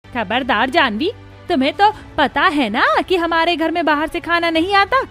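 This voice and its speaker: A woman voicing a scheming young new bride in an animated family story, often muttering to herself. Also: very high-pitched, normal speed, pitched above the performer's own voice. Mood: anxious, urgent. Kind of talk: Hindi cartoon story dialogue. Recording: music behind the voice.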